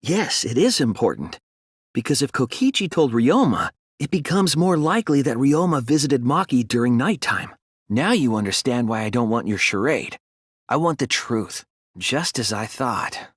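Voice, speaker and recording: An earnest young male detective from an anime video game. His voice is husky and swings widely in pitch as he reasons things through with deliberate care. The recording is dry and close, with no room sound. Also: big pitch swings, no echo. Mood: firm, deducing. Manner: earnest, deliberate, deductive, sometimes hesitant. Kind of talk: dramatic anime game dialogue.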